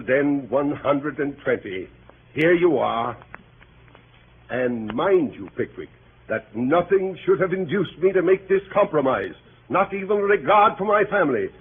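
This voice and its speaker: Man playing blustering, high-pitched country gentleman. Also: lightly acted. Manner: emphatic, peremptory. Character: irate, blustering middle-aged country gentleman. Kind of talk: 1930s radio acting. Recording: scratchy phone-like 1930s radio recording.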